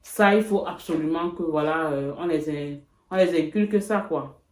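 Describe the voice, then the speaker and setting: A woman from Cameroon, chatting reflectively in a casual couple vlog, with frequent pauses. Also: low voice, a little mellow, echoey room. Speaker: vlogger.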